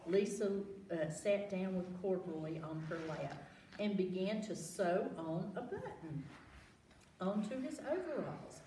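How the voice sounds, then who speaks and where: Female children's storytime reader, very expressive and unhurried, engaging listeners, recorded at a distance in a roomy space. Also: mid-range voice. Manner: animated, asks listeners questions, exclaims. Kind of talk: read-aloud picture-book storytelling to children. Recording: roomy, distant mic, faint background noise.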